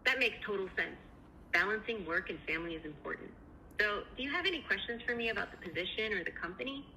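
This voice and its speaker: A woman with a very bright, forward voice, conducting a screening interview. She speaks quickly in a polite, polished, affirming way. There is faint noise on the phone call. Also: medium pitch, lively intonation. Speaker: AI recruiter interviewer.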